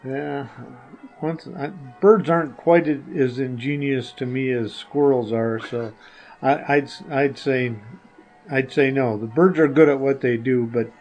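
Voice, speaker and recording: An older man answering questions casually as a guest, speaking in a hesitant, halting, earnest way. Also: medium pitch, steady tone, darkish tone, muffled recording, soft background music. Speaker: podcast guest, the hosts' father.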